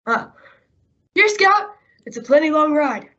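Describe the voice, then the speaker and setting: A woman's voice: sits high, lively intonation, a little slow, fairly bright tone, dull audio. A woman playing a loyal male western sidekick in an old-time radio style, terse and clipped. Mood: loyal, businesslike.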